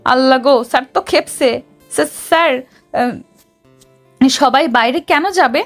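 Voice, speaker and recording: A woman's voice: sits high, moderate pace, a bit bright, faint music behind. A woman playing a feisty, sassy young officer in a romantic audio story, with a melodramatic manner. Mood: panicked. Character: feisty, dramatic young woman officer in love with her boss.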